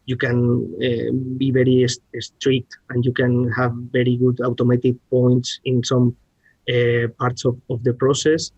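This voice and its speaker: A man with a Spanish accent explains technical matters haltingly, restarting words as he goes. His pitch is average, and the sound is dry and somewhat muffled, like a lo-fi online call. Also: completely flat delivery, darkish tone, slightly rough, dry recording. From Spain. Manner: halting, searching for words with repeated starts. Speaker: R&D director, podcast guest. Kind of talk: technical presentation and discussion.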